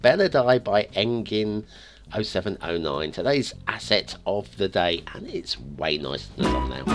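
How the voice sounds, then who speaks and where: A man with a wheezy, asthmatic breath, rambling through casual commentary on a game map. His voice rises and falls with a lively, animated pitch, and he keeps correcting himself mid-thought. Faint music plays softly behind him. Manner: rambling, chatty, self-correcting, joking asides. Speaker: host of a game map review show.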